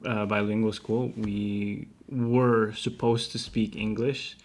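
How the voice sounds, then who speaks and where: Creaky-voiced man hosting a casual vlog. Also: on the low side, little pitch movement, slow. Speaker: vlog host. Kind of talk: casual chat.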